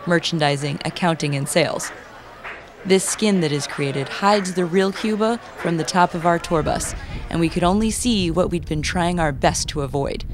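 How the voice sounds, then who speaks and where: Documentary narrator over noisy outdoor ambience. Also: medium pitch. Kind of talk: scripted travelogue narration.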